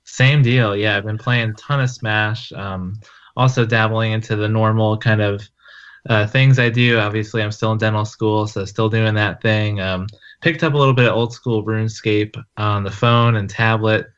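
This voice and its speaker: A man in his twenties guesting on a gaming podcast, talking in a relaxed, measured way. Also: on the low side, clear, resonant tone, highs cut off. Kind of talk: casual chat.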